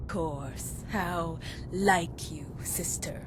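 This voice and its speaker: A woman's voice: lowish voice, very slow, noisy background. An actress playing a cruel, boastful female vampire who mocks her sister with theatrical laughter. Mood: mocking. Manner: mocking, gloating, theatrical laughs.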